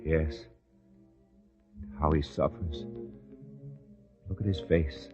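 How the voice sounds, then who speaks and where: An actor playing a gentle, mournful young disciple in a radio drama, speaking in hushed tones. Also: male voice, rumbling low, fairly even intonation, very slow, heavily acted. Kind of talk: vintage radio drama acting. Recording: dull radio drama recording with music.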